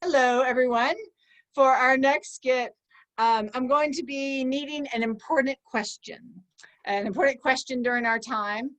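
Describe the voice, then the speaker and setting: A woman's voice: high-pitched, moderate pace, fairly bright tone, low-quality recording. A woman improv performer setting up a street-interview skit in a chirpy, giggly way. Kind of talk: improv comedy.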